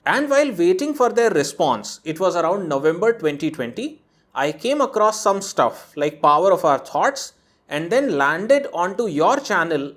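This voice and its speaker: Guy reading out a fan's email on his channel, kinda high voice, earnest, chiming in with side comments. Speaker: host reading a viewer's letter.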